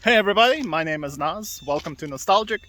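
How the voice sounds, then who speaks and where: Casting: chatty high-voiced male vlogger, rambling and cheerful, filmed outdoors while walking. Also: lively intonation, fast talker, sharp tone, a little hiss or hum. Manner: rambling, upbeat, laughs often, many fillers. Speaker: video podcast host. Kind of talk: casual walking vlog. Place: outdoors by railway tracks.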